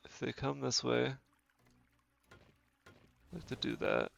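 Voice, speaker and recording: Stuffy-nosed gravelly streamer man. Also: mid-range voice, wildly swooping pitch, takes it slowly, a bit bright. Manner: thinking aloud, self-correcting, easygoing. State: stuffy nose from a cold. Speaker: game streamer. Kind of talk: casual gameplay commentary. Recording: dull lo-fi stream audio. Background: faint game music.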